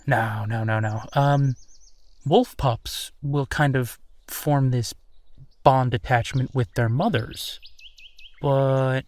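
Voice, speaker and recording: A man playing an easygoing, affectionate werewolf boyfriend in an intimate audio roleplay, speaking earnestly and haltingly. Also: medium pitch, steady tone, no room sound, lightly acted. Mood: patient, explaining. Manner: hesitant, chuckling, earnest, halting phrasing. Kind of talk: intimate boyfriend roleplay, naturalistic one-sided conversation.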